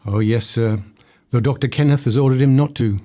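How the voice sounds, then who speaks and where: A man plays an obedient servant in a literary radio drama. His voice is mid-pitched and dark-toned. He is subservient and deferential throughout. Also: fairly quick, close to an everyday voice. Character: subservient, obedient male manservant.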